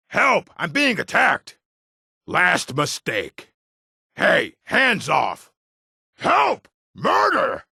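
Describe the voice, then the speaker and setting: An actor playing a gruff, aggressive orc warrior in a video game, with a rough, gravelly voice and a blunt, menacing manner. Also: male voice, mid-range voice, pushed and bright, no room sound, studio quality, full character voice. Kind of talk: video game character barks.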